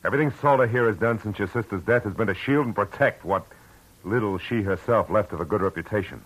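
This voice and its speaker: An actor playing a tough, world-weary private detective in a 1940s radio drama, talking in clipped, hard lines. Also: male voice, on the low side, quick pace, slightly rough, low-quality recording. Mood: forceful. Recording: old radio broadcast with faint hiss.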